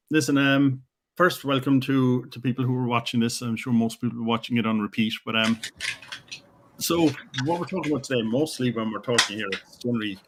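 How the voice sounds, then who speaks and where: Irish guy hosting a video call chat, mid-pitched, chatty and umming a lot, faint hiss behind. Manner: chatty, hesitant, lots of fillers. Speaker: podcast host. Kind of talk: casual chat.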